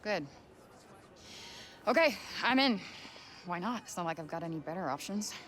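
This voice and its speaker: An actress playing a sarcastic, reluctant young heroine in a video game, with a casual, offhand delivery. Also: female voice, fairly low pitch, lively intonation, normal speed, fairly bright tone. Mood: sardonic. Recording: game music behind the voice.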